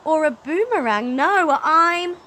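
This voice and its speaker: Woman playing an indignant little stick man, high and bright. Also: expressive, slow, full character voice. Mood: exasperated. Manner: emphatic, protesting, exclamatory.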